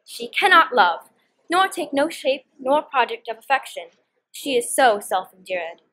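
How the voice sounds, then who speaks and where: A teenage girl acts a young noblewoman plotting in a Shakespeare comedy. Her voice is fairly high and highly animated, and she declaims the verse theatrically. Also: unhurried, full character voice, poor audio. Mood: mock exasperated. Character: Hero, scheming young noblewoman feigning disdain for her cousin. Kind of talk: Shakespearean stage dialogue, student performance.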